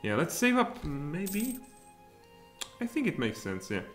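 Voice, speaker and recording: A man in his thirties with a Czech accent, streaming a strategy game and thinking aloud, with sudden excited outbursts. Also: on the high side, slow. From Czech Republic. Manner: mutters thoughts aloud, then bursts out excitedly. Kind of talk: live strategy game commentary. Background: faint music and keyboard typing.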